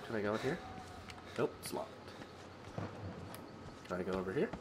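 A man's voice: fairly low pitch, expressive, moderate pace, very noisy. A young man hosting a horror game playthrough, nervous and jittery, with a stuffy, sick-sounding voice on a headset mic. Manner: nervous, jittery, frequent yelps and laughs. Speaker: gaming channel host. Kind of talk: horror game let's play commentary. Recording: game music behind voice.

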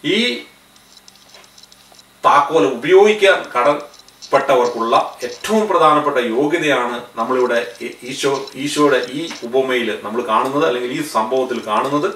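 Earnest man preaching, roomy bright sound. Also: mid-range voice, moderate pace, distant mic, a little hiss or hum. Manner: earnest, gestures with both hands, voice rises on key phrases. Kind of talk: spoken sermon to camera. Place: studio in front of a backdrop.

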